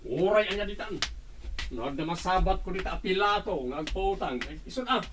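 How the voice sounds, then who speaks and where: Gruff, cash-strapped Ilocano husband, played by a man, radio drama; high male voice, bossy, slight background noise. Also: lively intonation, brisk. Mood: grumbling.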